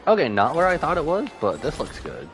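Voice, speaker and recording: Animated man streaming a game, voice swinging widely. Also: a little high, fairly quick. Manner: animated, rambling, jokey asides. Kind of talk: live gameplay commentary, casual chat.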